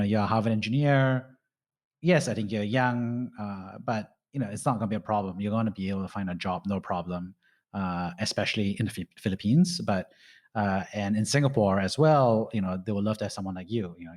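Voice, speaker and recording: A man's voice: lowish voice, darkish tone. A man in his thirties hosting a podcast, giving casual advice in a rambling way full of "you know" and "right?". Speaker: podcast host.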